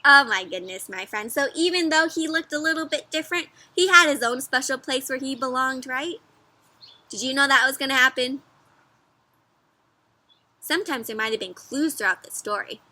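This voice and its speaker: A woman doing an interactive read-aloud for young children, expressive and encouraging, often putting questions to her listeners. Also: high voice, moderate pace, no room sound. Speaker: children's storybook read-aloud host and teacher.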